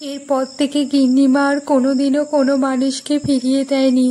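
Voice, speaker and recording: A woman voicing a kindly grandmother who tells bedtime ghost stories in a cartoon, gentle in manner. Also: sits high, level tone, fairly quick, close to an everyday voice. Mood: gentle, narrating. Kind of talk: storytelling in a cartoon.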